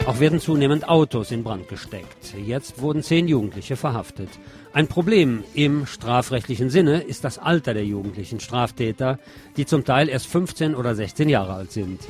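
A man presenting scripted news commentary as a radio-style narrator. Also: medium pitch.

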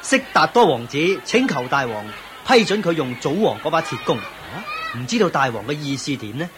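An actor playing a dutiful court official in a radio drama, formal, declamatory and deferential. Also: male voice, fairly high pitch, sing-song, a little fast, over music. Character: dutiful court official announcing royal contest results.

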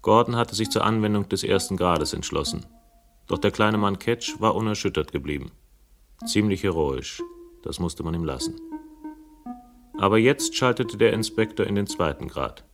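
An actor playing a wry, impatient investigator who narrates a radio crime play in a dry, sardonic manner. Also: male voice, low-pitched, expressive, normal speed, vocal fry. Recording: vintage radio play production with music.

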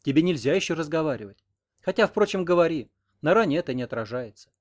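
Man voicing a coquettish, self-assured young village woman, lifted in pitch. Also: lively intonation, fast talker, close to an everyday voice. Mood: bossy. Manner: coquettish, teasing. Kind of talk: audiobook character reading.

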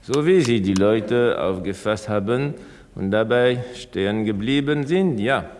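A man playing a contrary, self-effacing experimenter in a staged radio interview, answering tersely and deliberately, with long reverb. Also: on the low side, darkish tone, slight background noise, lightly acted. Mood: dryly contrary.

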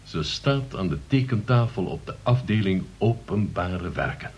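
A man's voice: on the low side, lively intonation, a little slow, husky. An actor playing a pompous, officious town alderman in a radio play, moralising in stiff official phrases, on a dull recording. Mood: pompous disapproval.

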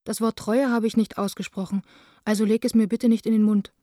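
A woman plays a lovelorn young letter writer in a radio play. Her voice is soft-toned and warm, with an intimate, earnest delivery. The recording is very dry. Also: medium pitch, little pitch movement, a little fast, dry recording, lightly acted. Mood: sad, reproachful. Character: lovelorn, reproachful young woman, theatre director.

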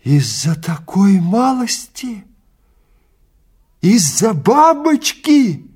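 A man's voice: high-pitched, very animated, a little mellow, breathy edge, voice pushed up, full character voice. Man acting a horrified, desperate time traveler. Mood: horrified disbelief. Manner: anguished, halting outbursts.

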